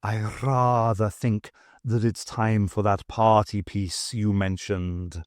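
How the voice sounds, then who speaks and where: An earnest, fussy male sidekick, voiced by a reader, sits close to the narrator's own tone with a slightly slowed, puffing urgency. The reading is dry and close-miked. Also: mid-range voice, fairly even intonation, darkish tone, dry recording, voice pushed down, close to an everyday voice. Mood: urgent.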